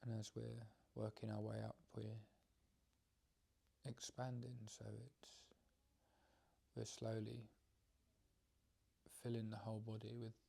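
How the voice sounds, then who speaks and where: A man giving an unscripted guided meditation talk as a teacher, hesitant and measured, with long pauses. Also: lowish voice, monotone, gravelly, dark tone, husky.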